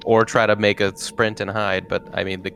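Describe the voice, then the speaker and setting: A man's voice: lowish voice, flat delivery, moderate pace, vocal fry, fairly bright tone, soft background music. A man playing a tabletop game in casual chat, hesitantly thinking aloud.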